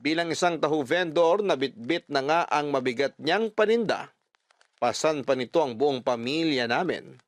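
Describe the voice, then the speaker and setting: A man's voice: on the high side, animated, no room sound, close to an everyday voice. A man playing a letter sender who earnestly recalls a poor childhood, with a Filipino accent, as scripted radio drama narration. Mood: sad, reflective. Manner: wistful, earnest, measured.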